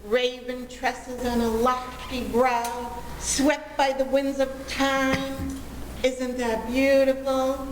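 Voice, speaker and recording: A woman as a high, dreamy lovestruck teenage girl. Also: flat delivery. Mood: gushing. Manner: sweet, gushing, drawn-out. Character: dreamy, lovestruck teenage daughter, sweetly gushing. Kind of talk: old-time radio comedy acting. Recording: echoey reverberant hall with background noise.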